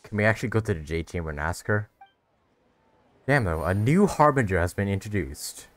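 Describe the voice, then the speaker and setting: A man's voice: on the low side, lively intonation, a little fast. A man doing casual let's-play commentary in a rambling, repetitive way. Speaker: gaming YouTuber.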